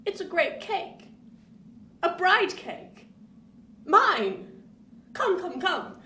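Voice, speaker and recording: A woman's voice: very high-pitched, very animated, very slow, pushed and bright, exaggerated voice. A woman playing an imperious, morbid old jilted lady in a Victorian radio play, haughty and theatrical. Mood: bitter, imperious. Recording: roomy, distant, noisy home recording.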